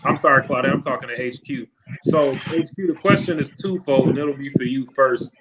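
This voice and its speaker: A male host moderating a live panel, measured, laying out long questions for the guests. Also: medium pitch, a crowd in the background, rough recording.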